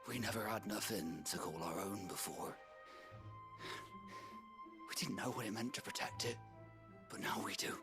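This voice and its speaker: A man's voice: fairly low pitch, normal speed, long pauses, close to an everyday voice. A man voicing a simple freed village labourer in a game, plain-spoken and heartfelt. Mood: humble, moved. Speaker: video game character. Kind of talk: dramatic game voice acting. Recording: game music behind. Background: game music.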